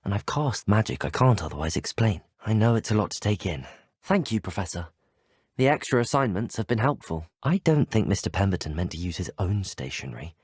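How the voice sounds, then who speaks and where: A young British student wizard, the hero of a video game. He speaks politely and with curiosity in a low, slightly husky voice. His delivery is measured and well-spoken, recorded very dry and close. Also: very animated, darkish tone, no echo. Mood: earnest, explaining. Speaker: video game player character. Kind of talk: scripted game dialogue.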